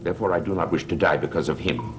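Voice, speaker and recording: A man's voice: low-pitched, quick pace, a little hiss or hum, close to an everyday voice. An actor playing a suspicious, sardonic stepfather with formal, drily sarcastic speech in a gothic soap opera. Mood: wary, accusing. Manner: dry sarcasm, formal wording. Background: music.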